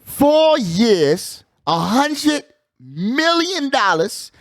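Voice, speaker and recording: A man's voice: extremely high pitch, big pitch swings, drawn-out words, fairly bright tone, dry recording, studio quality. A man hosting a casual sports talk show, slangy and emphatic, often repeating his catchphrases. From United States. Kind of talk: casual hyped sports chat.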